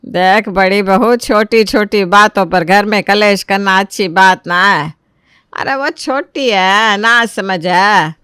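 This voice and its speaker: A woman's voice: on the high side, fairly bright tone, clean tone, no room sound, studio quality. A woman playing a bossy, nagging older mother-in-law who scolds and demands in a dramatized moral story. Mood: reproachful, placating. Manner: scolding, demanding, rustic turns of phrase.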